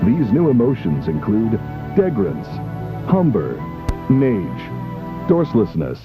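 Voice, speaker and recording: A man playing a formal, measured pharmaceutical advert announcer in an analog horror video. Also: on the low side, huge pitch swings, full character voice. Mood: clinical sales pitch. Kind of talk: scripted infomercial voice-over, analog horror. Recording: muffled lo-fi tape, music behind. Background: clear background music.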